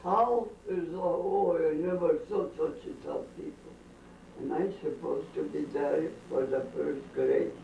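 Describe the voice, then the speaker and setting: Elderly woman, slow halting storytelling, distant mic, dull faintly hissy home recording. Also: sits low, fairly even intonation, creaky, warm tone, reverberant. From Bukovina, then Austria. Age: older. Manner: slow, halting, drifting off topic with strong opinions. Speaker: interviewee. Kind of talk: rambling family storytelling. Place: family home during a dinner gathering.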